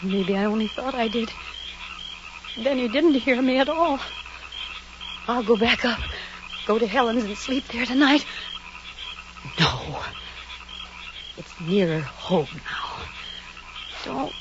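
A woman's voice: a little high, lively intonation, takes it slowly, pauses often, muffled recording. Frightened woman on noisy old radio. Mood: anxious, frightened. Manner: breathless, melodramatic, talking anxiously to herself. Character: terrified young woman walking home alone at night. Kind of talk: 1940s radio drama acting.

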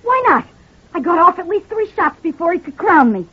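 An actress playing a scheming, murderous wife in an old radio melodrama, defensive and protesting. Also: female voice, extremely high pitch, very animated, moderate pace. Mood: defiant, defensive. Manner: protesting, flustered. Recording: dull, muffled old radio recording.

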